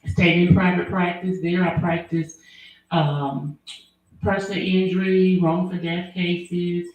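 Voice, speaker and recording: A woman attorney, answering interview questions in an earnest, measured way with frequent fillers. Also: on the low side, little pitch movement, phone-quality sound. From Alabama, US. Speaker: attorney and former prosecutor. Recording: very echoey room.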